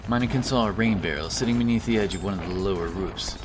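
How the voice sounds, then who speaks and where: A man narrating a scripted fantasy audiobook in a measured, dramatic way. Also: lowish voice, heavy background noise. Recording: music bed under voice.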